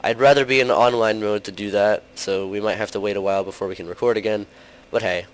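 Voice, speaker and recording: Young male gaming host, lower pitch, slightly hoarse with a cold, rambling casual commentary. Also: steady tone, fast, low-quality recording. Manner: rambling, heavy on fillers like "like" and "um".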